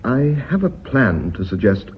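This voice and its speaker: An actor playing an earnest, loyal company secretary in a radio drama, polite, precise and persuasive. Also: male voice, mid-range voice, very animated, a little slow. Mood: earnest pleading. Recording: muffled, hissy old radio recording.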